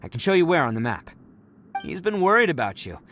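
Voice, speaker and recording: A man's voice: fairly high pitch, very animated, a little fast, a bit bright, slight background noise. An actor voicing a brash, boastful young male oni brawler in a game, bashful and self-congratulating. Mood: reassuring. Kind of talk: game voice acting.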